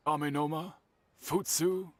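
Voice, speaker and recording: A man voicing a courteous old master swordsmith in an anime-style game, husky and formal, telling things in a measured, wistful way. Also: a little high, steady tone, a little mellow, close to an everyday voice. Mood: solemn, explaining.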